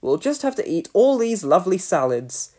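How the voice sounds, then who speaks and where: A woman reading a storybook, voicing a stern, bossy male fire chief who gives brisk orders. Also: lowish voice, sing-song, a little mellow. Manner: brisk, commanding.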